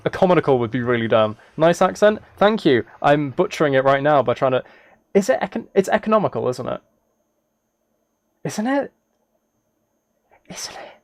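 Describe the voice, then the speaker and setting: A man's voice: a little high, no room sound, studio quality. A British man streaming a video game, chatting casually in a rambling, self-deprecating and apologetic way. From United Kingdom. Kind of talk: casual livestream chat while gaming.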